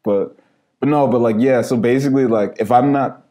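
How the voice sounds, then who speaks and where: A young man from Los Angeles rambles through a story as a podcast guest. He fills his talk with "like" and laughs as he goes. His voice is warm and mellow, at an ordinary pitch. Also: brisk. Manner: loose storyteller, heavy on "like", laughs as he talks. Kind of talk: casual chat.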